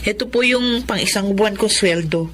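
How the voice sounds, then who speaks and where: A woman's voice: medium pitch, moderate pace, close to an everyday voice. A young woman acting a humble, dutiful housemaid from a poor family in a Filipino melodrama, speaking politely and deferentially. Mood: caring. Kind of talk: Filipino melodrama acting with first-person narration.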